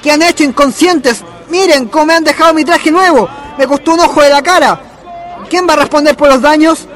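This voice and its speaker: A man's voice: extremely high pitch, brisk, fairly bright tone, a faint crowd. A man playing Pedro, a vain young womanizer who stammers and protests when he is caught lying, in a radio drama. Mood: indignant. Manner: stammering, defensive, indignant. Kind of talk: community radio drama acting. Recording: radio drama with faint music.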